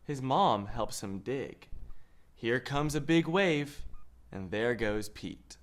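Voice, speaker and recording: A young man reading a children's picture book aloud in a measured, expressive storytelling way. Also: medium pitch. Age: young adult. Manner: measured, expressive storytelling with lifted quoted lines. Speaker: read-aloud host. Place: indoor studio.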